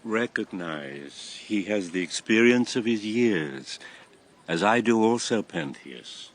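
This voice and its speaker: A man's voice: lowish voice, animated, slow. An actor playing an old former king and grandfather, a solemn, impassioned convert to a god, in a classical radio drama. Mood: solemn urging. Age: old, past retirement. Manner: solemn, impassioned, theatrical delivery.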